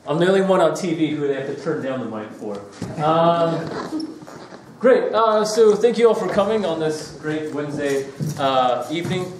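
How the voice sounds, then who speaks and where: A man's voice: fairly high pitch, lively intonation, moderate pace, noisy background. Chatty Australian man in an echoey hall. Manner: chatty, joking, many fillers. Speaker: guest lecturer. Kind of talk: public astronomy lecture. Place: lecture hall. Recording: echoey hall, mic from far off.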